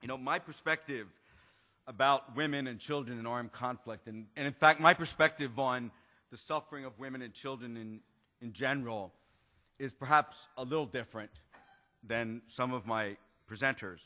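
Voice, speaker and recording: A man shares his perspective on a conference panel in a conversational, reflective manner. His voice is bright and forceful. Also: mid-range voice, normal speed.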